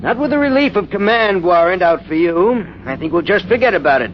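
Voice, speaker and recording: An actor playing a brisk, authoritative space patrol major in a 1950s radio drama. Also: male voice, high voice, lively intonation. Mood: stern, commanding. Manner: authoritative, clipped, dryly amused. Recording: muffled, noisy old radio broadcast.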